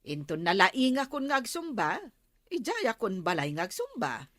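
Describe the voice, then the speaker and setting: A woman's voice: medium pitch, wildly swooping pitch, moderate pace, a bit bright, no room sound, clean studio sound. An actress playing a concerned, chatty village woman who gives advice in a comedy radio drama. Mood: concerned, advising.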